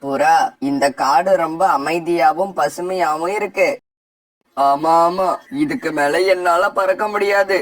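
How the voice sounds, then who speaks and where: A tired but contented male bird played by a man in a children's cartoon story, with a fairly high voice. The sound is dry and slightly off the microphone. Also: a little slow, no room sound, distant mic, close to an everyday voice. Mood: relieved. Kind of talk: animated moral story dialogue.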